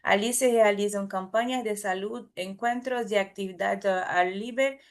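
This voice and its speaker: A woman presenting at an academic conference, her speech carrying a Brazilian Portuguese accent from her native tongue. She speaks carefully at a medium pitch, often stopping to restart or correct a word before moving on. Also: unhurried. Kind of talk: conference talk.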